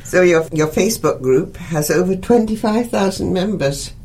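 A woman's voice: on the low side, darkish tone, slight background noise. Woman host interviewing a guest. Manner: measured, encouraging, frequent short acknowledgements. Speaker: radio show host.

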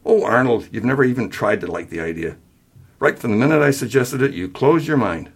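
A man reading a story aloud, voicing an older mother who is sweet and dreamy yet sharp-tongued. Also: medium pitch, animated, very fast, slightly rough, voice pushed up, heavily acted. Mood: snapping. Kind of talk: acted dialogue in a story reading.

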